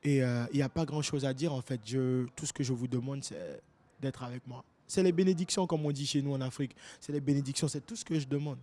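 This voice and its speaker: A man's voice: mid-range voice, fast, mellow. A young man from Guinea, a music artist, answering interview questions casually and rephrasing as he goes.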